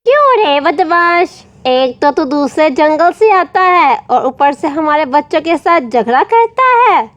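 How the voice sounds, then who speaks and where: A woman's voice: sits high, big pitch swings, unhurried, fairly bright tone, no echo, full character voice. A woman voicing a protective, indignant mother bird in a children's cartoon. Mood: scolding. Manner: indignant, emphatic. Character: protective, scolding mother bird, fierce for her son. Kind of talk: children's cartoon story acting.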